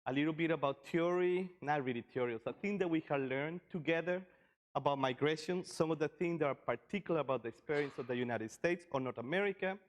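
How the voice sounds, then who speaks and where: A man's voice: on the high side, fast. Man from Costa Rica presenting informally. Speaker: session presenter, migration researcher.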